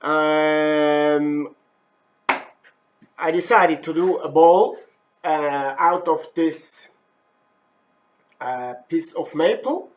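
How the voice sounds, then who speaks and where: A man from the German-speaking part of Switzerland talks in a Swiss German accent, slowly and haltingly, working in English as a non-native speaker. He pauses on fillers and corrects himself as he goes. He sounds middle-aged and hosts a live wood turning demo from his workshop. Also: fairly high pitch, a little hiss or hum. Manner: hesitant, many fillers and self-corrections. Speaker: professional wood turner. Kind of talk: live-stream demo talk.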